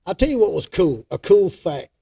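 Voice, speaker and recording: A man's voice: high voice, big pitch swings, normal speed, creaky, dark tone, husky. A man co-hosting a podcast, chatting in a folksy, enthusiastic way with his guest. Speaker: podcast co-host. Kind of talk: casual interview chat.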